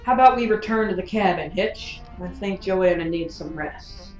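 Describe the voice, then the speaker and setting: An actress playing a wry, blunt, independent space gunslinger in a science fiction audio drama. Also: female voice, on the low side, moderate pace, darkish tone, echoey room, muffled recording, faint music behind, natural voice.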